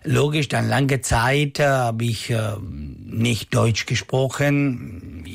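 A middle-aged singer with a thick Italian accent speaks slow, halting German, hunting for words and filling gaps with "äh". His voice has a gravelly, slightly husky grain. He laughs easily between phrases. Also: mid-range voice. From Bozen, South Tyrol, Italy. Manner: halting non-native German, many fillers, laughs easily. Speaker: schlager singer guest. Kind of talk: radio interview, broken German.

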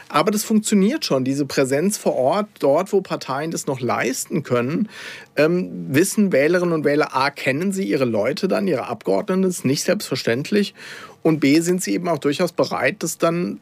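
A man, a political science professor, explaining carefully on a radio call-in show with measured, academic phrasing and frequent hesitations. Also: fairly high pitch, vocal fry, dry recording.

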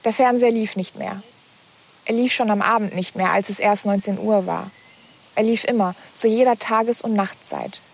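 A woman in her twenties from Berlin, an author speaking in a measured way. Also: mid-range voice, vocal fry, husky. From Berlin, Germany. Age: 20s.

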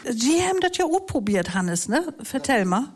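A woman's voice: high-pitched, sing-song, moderate pace, very noisy. A woman hosting a talk show, chatting casually with guests and prompting them to tell their stories. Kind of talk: casual interview, mixing in Low German.

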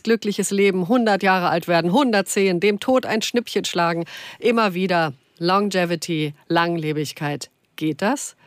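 A woman's voice: lowish voice, dry recording. A woman in her late fifties hosting a live discussion show on a studio microphone. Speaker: radio talk show host. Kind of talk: live phone-in discussion moderation. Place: radio studio. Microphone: studio broadcast microphone.

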